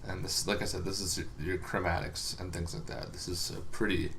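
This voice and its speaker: A man giving a casual, unscripted explainer as a host, halting and rambling with long pauses, over some background noise. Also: low voice, flat delivery, vocal fry, a bit bright. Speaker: host of a tabletop gaming lore series.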